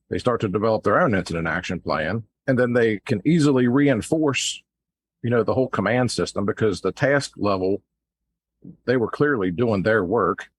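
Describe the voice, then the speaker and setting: A man's voice: fairly low pitch, slightly rough. A man co-hosting, giving casual, analytical commentary in conversational sentences. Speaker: podcast co-host.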